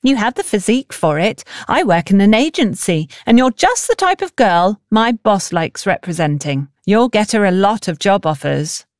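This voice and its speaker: A woman's voice: medium pitch, big pitch swings, moderate pace, hardly any pauses, dry recording, clean studio sound, lightly acted. A woman voicing a proud, self-assured young agency assistant in an audiobook story. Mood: proud, persuasive. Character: proud older village girl working as agency assistant. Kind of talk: audiobook character dialogue.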